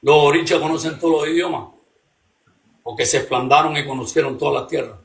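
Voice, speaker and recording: A man's voice: on the high side, fairly quick, vocal fry. Blunt man in an echoey room. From Cuba. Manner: blunt, swearing, animated. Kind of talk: casual religious debate.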